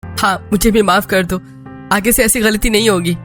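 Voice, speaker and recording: Young husband in a family cartoon played by a male narrator, raised pitch, emotional swings, music underneath. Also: fairly even intonation, normal speed, fairly bright tone. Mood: remorseful. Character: Rohan, angry young husband scolding his wife. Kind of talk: Hindi moral-story cartoon dubbing. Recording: music bed behind the voice.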